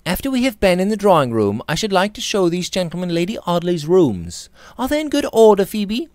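A man's voice: sits high, sing-song, brisk, a little mellow, no echo, studio quality, pitched above the performer's own voice. A man voicing a proud, impatient young Victorian lady in a passionate, exclamatory manner for a novel reading. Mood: commanding.

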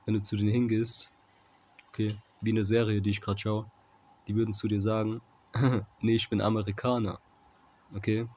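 Low, monotone man teaching Bible informally. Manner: halting, often checking with "okay?". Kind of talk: informal Bible commentary with scripture reading.

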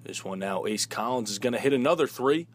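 A man announcing sports highlights in a play-by-play commentary style. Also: animated, fairly quick.

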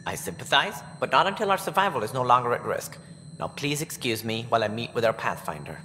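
A man voicing a calculating, self-important alien director in a video game, with a formal, measured, pompous manner. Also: medium pitch, very animated, bright, forceful tone, long reverb tail, slight background noise. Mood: curt.

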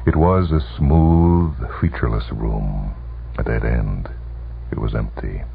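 A man narrating a short story for radio in a measured, suspenseful, dramatic reading, heard on a muffled old broadcast. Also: extremely low pitch, creaky, slightly rough. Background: music.

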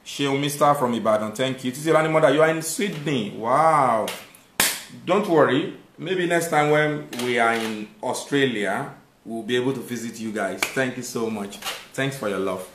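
Fields. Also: male voice, mid-range voice, moderate pace, noisy background. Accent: Nigerian. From Nigeria. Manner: warm thanks to viewers, lively when making a point. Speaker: live stream host. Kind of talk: live stream chat reading viewer comments. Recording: echoey room.

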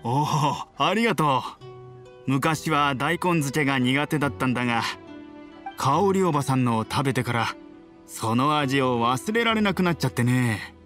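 A man playing a kind, steady middle-aged villager in an anime game, calm and neighbourly. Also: mid-range voice, normal speed, soft background music, natural voice. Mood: calm, caring. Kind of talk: Japanese anime game voice acting.

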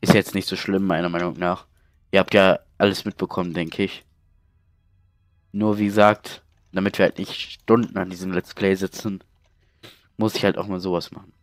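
A man's voice: low voice, level tone, moderate pace, dry recording. A man with a cold giving casual, chatty let's play commentary through a headset, reading out game text along the way. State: stuffy cold.

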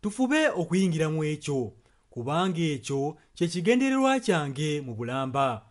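A man's voice: fairly high pitch, very animated, moderate pace. A man interpreting a sermon and prayer solemnly, phrase by phrase. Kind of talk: consecutive translation of a sermon and prayer.

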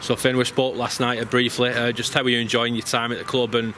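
A man's voice: mid-range voice, level tone, fast talker. A man asking questions as a club interviewer after a football match, outdoors at a ground. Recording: outdoor stadium with crowd and traffic noise.

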